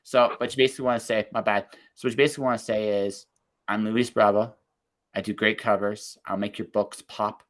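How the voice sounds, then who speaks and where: A man hosting a podcast interview over a video call, upbeat and encouraging, talking in quick bursts. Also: lowish voice, fairly bright tone. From Canada. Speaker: podcast host. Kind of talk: casual interview chat.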